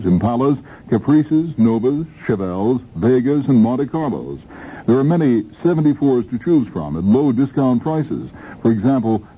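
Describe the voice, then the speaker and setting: A male radio announcer reads a vintage dealership spot. His very dark, mellow voice sits at middle pitch with lively inflection, on a slightly dull old recording. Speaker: local station announcer. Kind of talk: 1970s radio car dealership advertisement read.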